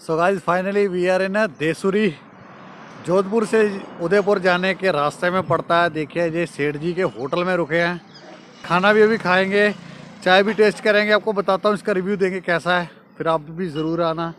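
A man's voice: high-pitched, steady tone, normal speed, fairly bright tone. A man in his thirties from Punjab in India, chatting upbeat to a phone held at arm's length in a casual travel vlog outdoors at a roadside eatery. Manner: chatty, upbeat, mixes English into Hindi. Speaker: travel vlogger. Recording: outdoor background noise.

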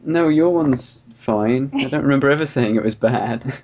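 A co-host with a British accent chats casually, dropping dry, wry remarks at a middle pitch. The audio is dulled and lo-fi, like an online call. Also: normal speed. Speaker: podcast co-host. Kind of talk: casual chat.

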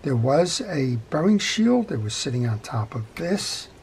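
A man's voice: fairly high pitch, sing-song, measured pace, creaky, heavy background noise. A middle-aged man giving an unscripted hands-on repair tutorial in a methodical, plain-spoken way at an indoor workbench. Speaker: fishing reel repair instructor.

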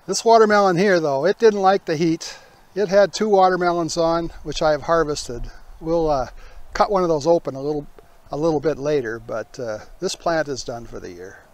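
An older man in his sixties with a slightly high-set voice. He talks casually as the host of a garden video, in a folksy, unhurried manner, recorded outdoors in a backyard. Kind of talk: casual garden tour talk.

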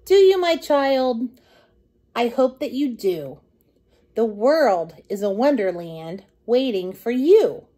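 A middle-aged woman reads a children's story aloud. Her voice is fairly high and very lively in pitch. She takes her time, shaping lines in a deliberate, sing-song storytime delivery. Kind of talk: expressive picture-book reading aloud.

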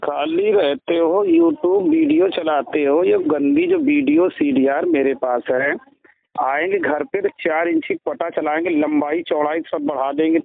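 High-pitched bullying man on the phone. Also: huge pitch swings, unhurried, gravelly, a little hiss or hum. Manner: intimidating, bullying, hectoring. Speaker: phone scammer posing as a crime branch officer. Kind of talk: threatening phone call. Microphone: telephone line. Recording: tinny recorded phone call, band-limited.

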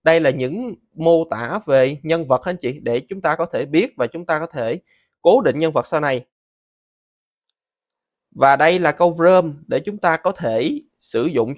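Higher-voiced Southern Vietnamese man teaching. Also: no echo, studio quality. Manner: conversational, frequent sentence-ending particles addressing viewers. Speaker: tutorial host. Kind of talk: casual step-by-step screen tutorial.

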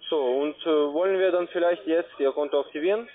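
A man's voice: on the high side, fairly quick. Non-native male phone salesman on a tinny line. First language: not German. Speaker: call-centre sales agent. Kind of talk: telephone sales pitch.

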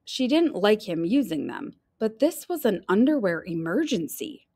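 A woman reading a children's picture book aloud in an expressive style, stretching key phrases for drama. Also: mid-range voice, moderate pace, husky.

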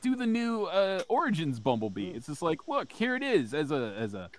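A man co-hosting a casual podcast, rambling through long animated stories with some swearing. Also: on the high side, moderate pace. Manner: rambling, animated storyteller, profane. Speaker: podcast co-host.

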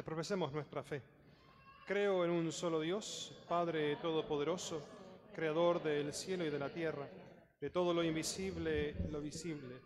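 A male priest leads formal prayer in a large church. His fairly high voice carries with a long reverberant tail. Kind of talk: liturgical recitation.

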